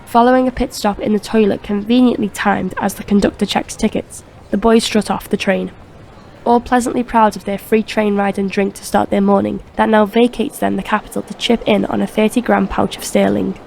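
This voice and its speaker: A woman's voice: medium pitch, steady tone, brisk, creaky, a little mellow, slight background noise. A woman reading scripted narration for a crime audio drama.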